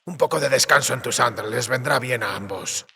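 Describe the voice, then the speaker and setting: Gruff male monster hunter for audiobook drama: forceful, deep fry, dry and serious, long reverb. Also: a little fast, heavily acted. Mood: serious, resolved. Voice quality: gravelly, husky. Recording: cave-like reverb.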